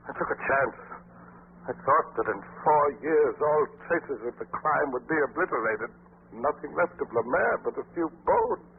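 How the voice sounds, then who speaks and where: Desperate, greedy radio-drama killer. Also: male voice, fairly high pitch, lively intonation, vocal fry. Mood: bitter, confessing. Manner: melodramatic, halting, impassioned. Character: desperate, greedy male murderer, an institute trustee, confessing. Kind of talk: 1940s radio acting. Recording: scratchy, narrow-band 1940s radio recording.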